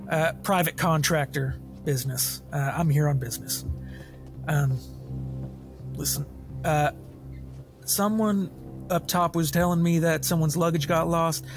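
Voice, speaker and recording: A man voicing an evasive private contractor, halting and hedging as he tries to sound businesslike. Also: a little high.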